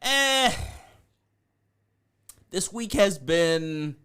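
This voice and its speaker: A man's voice: high voice, animated, very slow, sharp tone, clear, resonant tone. A man co-hosting a podcast in casual banter, teasing and sarcastic. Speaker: podcast co-host.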